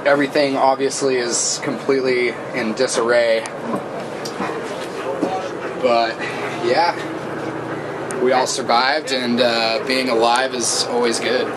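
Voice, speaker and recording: A man's voice: flat delivery, takes it slowly, long pauses. Laid-back man in a noisy boat. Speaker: boat crew member being interviewed. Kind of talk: casual account to camera. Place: inside a small boat. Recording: noisy boat interior with engine rumble.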